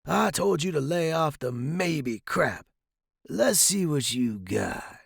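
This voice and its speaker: Man putting on a high, blustering old street wino. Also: sing-song, slow, creaky, husky, no echo, clean studio sound, exaggerated voice. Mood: gruff, challenging. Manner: slangy, blustering, loud outbursts. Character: brash, boozy old street-performing wino, male, cantankerous.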